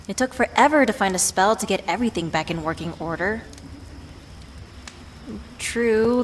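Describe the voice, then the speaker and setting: Casting: woman as fretful, loving witch for ASMR roleplay, mid-pitched, playfully exasperated tender scolding, audible ambience behind. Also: lightly acted. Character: worried, affectionate witch scolding her beloved partner. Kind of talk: ASMR roleplay audio drama. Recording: clear background ambient noise.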